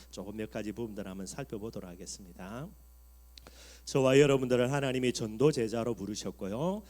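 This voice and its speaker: A man's voice: medium pitch, a little mellow. A man in his fifties, a pastor preaching a sermon, talking earnestly to the congregation and building up for emphasis. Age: 50s.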